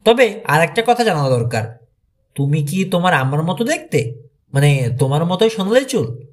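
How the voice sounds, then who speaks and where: Man reading as an inquisitive, high-voiced boy detective. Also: very animated, moderate pace. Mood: curious.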